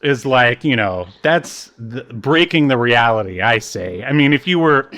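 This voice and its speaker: A man's voice: mid-range voice, lively intonation, unhurried, sharp tone. A man co-hosting a podcast in casual comedic chat, jokey and given to acting out quotes. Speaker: podcast co-host. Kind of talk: casual comedic chat about a reality TV show.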